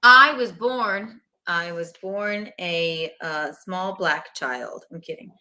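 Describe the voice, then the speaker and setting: A woman's voice: fairly low pitch, takes it slowly, sharp tone. A young woman from South Carolina, a stand-up comedian chatting as a guest and joking at her own expense. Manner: jokey, self-deprecating, rambling. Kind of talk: casual interview chat.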